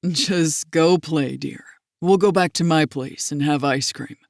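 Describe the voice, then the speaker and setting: A woman's voice: sits low, a little slow, gravelly, no echo, studio quality, voice pushed down. Woman doing a jovial old grandpa. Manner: warm, laughing, gently teasing. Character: kindly joking old grandfather.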